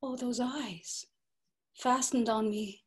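A woman's voice: fairly high pitch, fairly even intonation, slow. A woman voicing a romantic young Irish woman in a dramatic reading over a video call, dreamy and at times whispering. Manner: breathy, dreamy, sometimes whispered.

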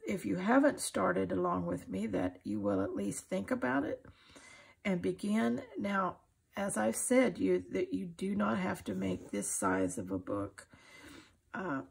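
An older woman with a husky, gravelly voice, giving an informal, folksy and encouraging craft demonstration. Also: mid-range voice. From United States. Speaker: craft tutorial host. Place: indoors at a craft table.

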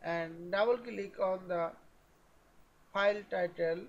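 A man narrating a step-by-step software tutorial in short, halting phrases. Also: sits high, highs cut off.

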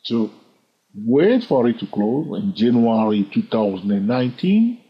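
A man teaching a chart lesson over his screen, animated and full of rhetorical questions, chuckling now and then. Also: medium pitch, unhurried, husky. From lives in London. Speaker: trading educator. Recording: dull lo-fi sound.

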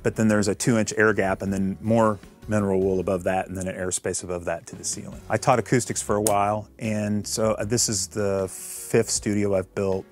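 Fifties guy from illinois showing off his basement studio, deep-ish voice, easygoing and technical, faint music under it. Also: normal speed, few pauses. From Illinois, USA. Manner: relaxed, explanatory, technical. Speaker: studio owner giving a tour. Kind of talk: casual studio tour. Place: treated basement recording studio.

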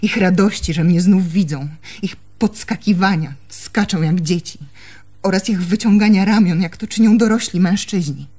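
A woman's voice: on the low side, moderate pace, a little mellow, husky, faint music behind. An actress in a Polish radio play, playing an anxious, haunted young woman in love, with intimate, brooding delivery. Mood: agitated, torn.

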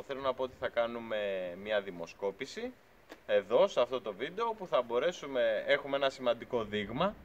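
A man's voice: medium pitch, highs cut off. A man in his thirties, a beekeeping channel host, talking earnestly and argumentatively to the camera outdoors beside a truck. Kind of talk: casual talk to camera. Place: outdoors by a pickup truck at a farm shed.

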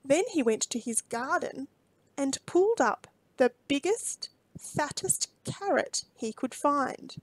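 A woman reading a children's storybook aloud expressively, with deliberate phrasing and dramatic emphasis. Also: high-pitched, lively intonation, no echo, low-quality recording.

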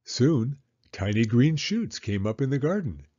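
A man reading a children's storybook aloud in a deliberate, simply phrased narration. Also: medium pitch, big pitch swings, mellow, no room sound, highs cut off. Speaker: storybook reader.

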